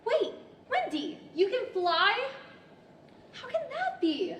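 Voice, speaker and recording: A woman plays an eager, proper little girl in a live radio play. She is high-voiced and very animated, full of wide-eyed exclamations and questions. The hall echoes around her. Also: slow, full character voice. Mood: eager, amazed. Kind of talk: 1940s radio-theater acting. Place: large library hall, live stage performance. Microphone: stand microphone. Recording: echoey hall, distant mic, faint noise.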